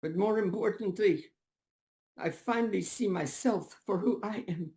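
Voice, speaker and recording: A woman playing a remorseful parent confessing guilt, solemn and deliberate, at a dramatic table read. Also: medium pitch, warm tone, natural voice. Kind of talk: live table read acting.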